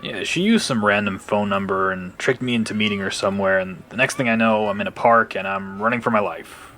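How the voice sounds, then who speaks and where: A man playing a shifty, rattled young bully in an audio drama, speaking in a halting, defensive way. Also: fairly low pitch, pushed and bright, slight background noise. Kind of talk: audio drama dialogue, conversational.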